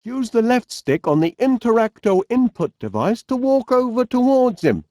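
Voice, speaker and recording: A man's voice: very high voice, sing-song, moderate pace, dark tone, no echo, studio quality. A man voicing a cheerful, fussy middle-aged inventor with a Northern English accent in a video game, genial and bumbling. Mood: helpful, chirpy. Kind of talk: animated video game character voice lines.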